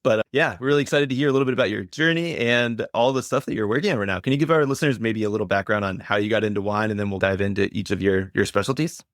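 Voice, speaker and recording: A man's voice: on the low side, very fast, few pauses, fairly bright tone, dry recording, studio quality. A man hosting a podcast in a casual, conversational style. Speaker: podcast host.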